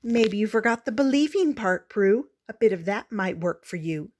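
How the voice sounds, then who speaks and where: A woman voicing a kindly, encouraging female schoolteacher in a storybook, with a gentle manner. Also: mid-range voice, lively intonation, normal speed, lightly acted. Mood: gentle encouragement.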